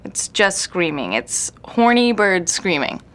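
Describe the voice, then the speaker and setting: A woman's voice: mid-range voice, sing-song, slow, fairly bright tone. A woman playing a jaded, self-deprecating night-owl composer in an audio drama, rambling bluntly with sardonic, slangy swearing. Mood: opinionated, self-deprecating. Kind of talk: naturalistic audio drama interview acting. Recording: noisy room recording.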